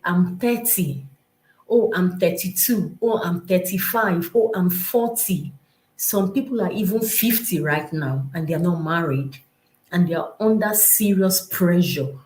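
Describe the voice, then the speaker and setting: A woman's voice: lowish voice, darkish tone. A woman with a Nigerian accent gives informal, motivational teaching on a live stream, speaking emphatically and conversationally with a lot of repetition. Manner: emphatic, repetitive, conversational, acting out imagined remarks. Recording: roomy, echoing live-stream sound.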